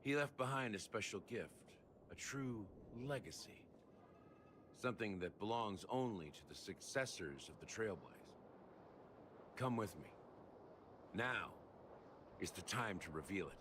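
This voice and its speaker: An actor playing a dignified older man in a video game, speaking in a solemn, measured, formal way. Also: on the low side, expressive, background noise. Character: dignified older man honouring a late friend. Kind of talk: scripted video game voice acting.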